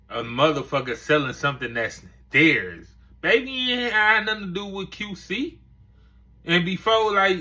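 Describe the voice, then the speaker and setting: A man's voice: high-pitched, pushed and bright. A man hosting a casual commentary video, rambling with lots of filler phrases. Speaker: commentary channel host.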